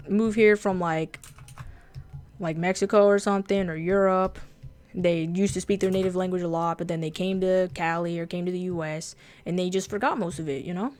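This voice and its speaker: A woman whose first language is Japanese, with a fairly deep voice, chatting casually and bluntly on a drawing livestream, swearing freely. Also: darkish tone. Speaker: streamer and artist.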